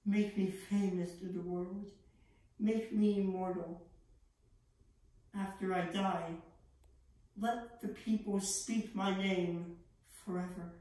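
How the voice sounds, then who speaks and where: A woman's voice: medium pitch, steady tone, slow pace, long pauses, mellow, lightly acted. A teenage woman playing a guilt-ridden, bitter old male Italian composer, theatrical, pleading and declaiming. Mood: fervent, reverent. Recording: echoey room, distant microphone.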